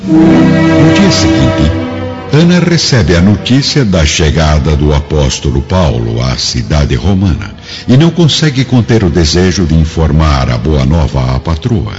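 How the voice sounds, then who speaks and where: A man's voice: a bass voice, very animated, unhurried, darkish tone. A man with a Brazilian accent giving solemn, formal, dramatic narration for a radio drama. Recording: dull lo-fi radio drama, music behind.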